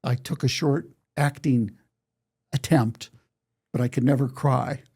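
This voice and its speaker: A man's voice: mid-range voice, fairly even intonation, measured pace, darkish tone, breathy edge. A man in his eighties telling stories from his life in a conversational interview, quoting remembered exchanges as he goes. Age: 80s. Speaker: interview guest, songwriter and storyteller.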